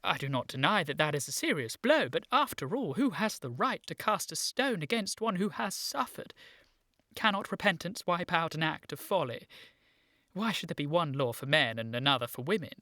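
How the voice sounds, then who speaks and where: Earnest, low-voiced young gentleman. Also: expressive, normal speed, no room sound, studio quality. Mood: earnest, forgiving. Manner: formal, urgent, stammering when agitated. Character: earnest, excitable young gentleman seeking his origins. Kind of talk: radio play acting, period comedy.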